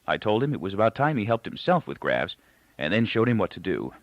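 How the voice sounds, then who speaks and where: A dull, dry, close recording of a man narrating a novel. His voice sits low and has a gravelly creak, and his intonation swings very widely as he tells the story. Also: very animated, fairly quick, dry recording. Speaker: audiobook reader. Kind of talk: scripted literary narration with character dialogue.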